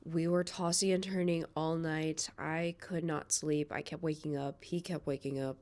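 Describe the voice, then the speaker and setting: A young woman with a slight lilt talks casually into her phone camera, her voice sitting a touch low. She rambles with plenty of "like" fillers and quick restarts, relaxed and conversational. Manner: chatty, many "like" fillers and restarts. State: congested, stuffy nose. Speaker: vlogger. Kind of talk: casual vlog chat to camera.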